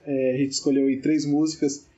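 A man from Brazil's Northeast hosting a casual podcast, joking and relaxed with many fillers. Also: mid-range voice, normal speed, lo-fi sound. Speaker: podcast host.

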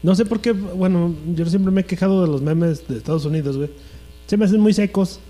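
A young Mexican man talks loosely and full of slang, tagging his sentences with filler. His voice is a bit high yet mellow and dark. A low mains hum runs under the recording. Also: a little fast. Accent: Mexican Spanish. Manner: slangy, says "güey" constantly. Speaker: podcast co-host. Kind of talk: casual chat.

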